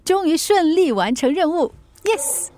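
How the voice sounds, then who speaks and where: A feisty, kind-hearted young delivery woman in a radio drama. Her voice is high, earnest and stubborn, with faint noise behind it. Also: lively intonation. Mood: bright, earnest. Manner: coaxing and earnest, quick to snap back.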